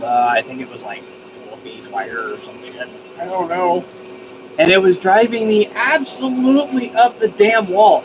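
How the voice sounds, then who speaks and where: Enthusiastic man live streaming over background noise. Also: high-pitched. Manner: folksy, informal, mildly profane; enthusiastic, effusive. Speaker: live stream host of a DIY radio channel. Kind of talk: casual live stream chat.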